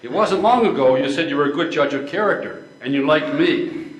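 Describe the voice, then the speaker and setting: Older man as an earnest, slightly high-voiced suitor. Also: expressive, brisk, close to an everyday voice. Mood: concerned. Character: fair-minded, concerned male suitor. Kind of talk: amateur readers theater play. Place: indoor live stage reading. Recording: echoey room.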